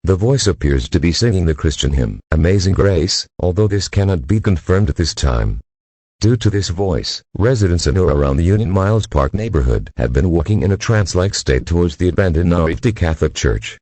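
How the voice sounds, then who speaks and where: A man's voice: low voice, expressive, brisk, mellow, slightly rough, dry recording. A man's synthetic-sounding voice reading a formal emergency alert in an impersonal, mechanical manner.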